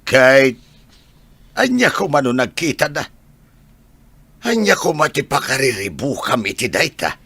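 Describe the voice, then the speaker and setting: Guy doing grumpy old ilocano grandpa, raspy and sharp, voice jumps all over, dry radio drama sound. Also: medium pitch, very animated, measured pace, long pauses, no echo, heavily acted. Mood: irritated.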